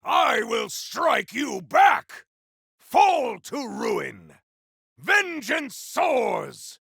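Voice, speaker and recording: An actor voicing a fierce, honorable cyborg warrior in a video game, speaking in a theatrical, grandiose, gravelly way. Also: male voice, very high-pitched, big pitch swings, extremely slow, a bit bright, dry recording, shouting, studio quality, heavily acted. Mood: battle fury. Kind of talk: video game combat barks.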